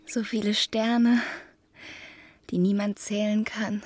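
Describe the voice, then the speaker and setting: An actress playing a dreamy, tired young girl in an audio drama, intimate, breathy and half-whispering. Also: mid-range voice, level tone, takes it slowly, background noise, natural voice. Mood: languid, intimate. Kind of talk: German audio drama acting.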